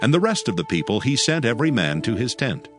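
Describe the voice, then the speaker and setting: A man's voice: medium pitch, sing-song, quick pace. A man narrating scripture in a solemn, scripted, dramatic storytelling style. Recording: music under the narration.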